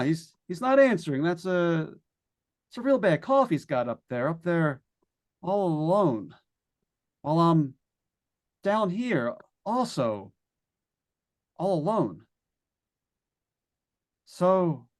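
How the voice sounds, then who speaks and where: A man playing a nervous, lonely radio broadcaster unravelling in a haunted house, stammering and rambling in 1940s radio suspense style. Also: fairly high pitch. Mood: nervous chatter, then melancholy. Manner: stammering, halting, rambling with repeated words. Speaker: actor in old-time radio play. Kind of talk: 1940s radio suspense acting, live monologue.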